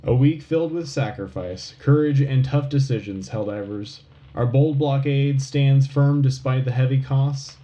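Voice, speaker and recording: A man's voice: mid-range voice, unhurried, dark tone, highs cut off, slight background noise, close to an everyday voice. A man playing an earnest wartime radio news anchor, solemn and rallying in a scripted broadcast delivery. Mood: solemn, rousing. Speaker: radio show host. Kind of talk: scripted propaganda-style war news broadcast.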